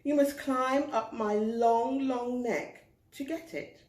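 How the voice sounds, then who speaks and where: A woman voicing a haughty, teasing female giraffe in a children's story, with grand, drawn-out words. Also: a little high, fairly even intonation, darkish tone, roomy sound, pitched above the performer's own voice. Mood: challenging.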